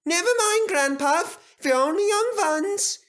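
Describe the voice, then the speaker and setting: Cheeky young male engine, squeakily high, impudent singsong, lively storybook character. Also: unhurried, exaggerated voice. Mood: teasing, curious.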